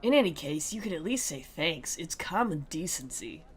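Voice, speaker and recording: A woman's voice: sits low, big pitch swings, moderate pace, husky, full character voice. A woman voicing an indifferent, irritated teenage schoolgirl from a visual novel, curt and scolding. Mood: cold, scolding.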